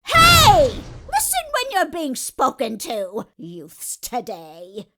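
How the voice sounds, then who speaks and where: A woman's voice: high voice, huge pitch swings, slow, noisy background, exaggerated voice. A woman playing a brash, nagging older security guard with scolding, gossipy, folksy talk in a video game fan dub. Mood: scolding, indignant. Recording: music behind the voice. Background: music.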